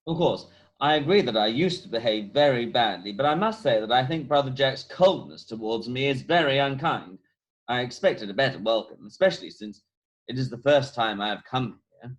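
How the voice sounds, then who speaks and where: A man playing a smooth-talking young Victorian scoundrel feigning repentance in an amateur play reading. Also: medium pitch, expressive, normal speed, dull audio, close to an everyday voice. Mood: mock contrite. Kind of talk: amateur play read-through.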